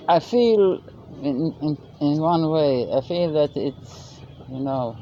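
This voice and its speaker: A man's voice: fairly high pitch, dark tone, a little hiss or hum. Middle-aged Norwegian man, non-native English, hesitant restarts, dull outdoor recording. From Norway. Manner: halting, searching for English words with many restarts. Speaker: vlogger. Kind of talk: casual chat. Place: outdoors by a river.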